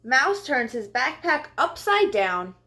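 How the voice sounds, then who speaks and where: Slow-paced woman speaking to young children. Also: high-pitched, sing-song, bright, forceful tone. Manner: simple, deliberate phrasing as if for children. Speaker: children's storytime host. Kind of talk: read-aloud and talk addressed to young children.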